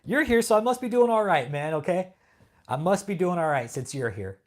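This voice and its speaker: A man's voice: high-pitched, expressive, fairly quick. A man from California hosting a live stream, reading chat and taunting a troll with heavy swearing and laughter. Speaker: livestream host. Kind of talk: casual livestream chat.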